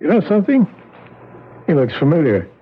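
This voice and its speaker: Blunt police lieutenant. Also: male voice, fairly high pitch, huge pitch swings, a little fast, husky, full character voice. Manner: blunt, matter-of-fact questioning. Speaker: police detective. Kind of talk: 1970s radio drama acting. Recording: tinny old radio broadcast.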